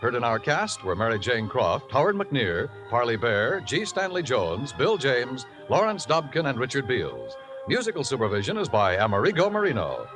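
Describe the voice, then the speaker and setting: A man announces in scripted 1950s radio style. His medium voice swings dramatically up and down over clearly audible music. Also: medium pitch, huge pitch swings, normal speed, hardly any pauses.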